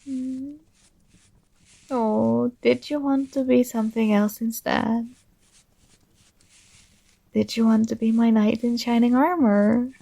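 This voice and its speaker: Slow, high-voiced possessive princess played by a woman. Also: fairly even intonation, gravelly, dry recording, exaggerated voice, low-quality recording. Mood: doting, possessive. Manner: softly coaxing, doting, gently commanding. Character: possessive, tender yandere dream princess with magic powers. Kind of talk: ASMR roleplay, soothing intimate monologue.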